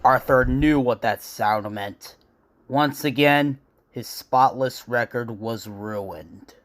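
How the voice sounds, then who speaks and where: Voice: medium pitch, slow pace, fairly bright tone. A narrator reading a scripted children's-style story for a comic dub.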